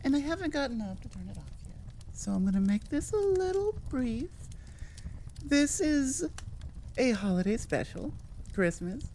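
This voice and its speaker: A woman's voice: high voice, sing-song, normal speed, mellow, a little hiss or hum. Chatty American woman, informal and rambling. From United States. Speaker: audio roleplay creator. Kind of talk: casual outro chat to listeners.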